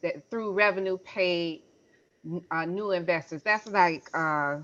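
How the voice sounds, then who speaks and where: A woman on a video call, reading definitions aloud haltingly and explaining them. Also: fairly low pitch, a bit bright. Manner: halting, reads aloud with stumbles. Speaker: call participant. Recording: dull lo-fi call audio.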